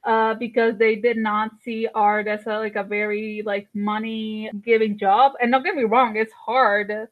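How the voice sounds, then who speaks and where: A woman's voice: a little high, little pitch movement, measured pace, few pauses. A woman, chatting as an interview guest with lots of fillers and restarts. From Colombia. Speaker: guest artist. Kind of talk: casual interview chat. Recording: tinny phone-like line.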